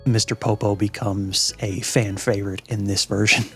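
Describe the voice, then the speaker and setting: A man hosting a reaction show in casual chat, often halting and repeating words as he explains. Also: fairly low pitch, little pitch movement, fairly bright tone, soft background music.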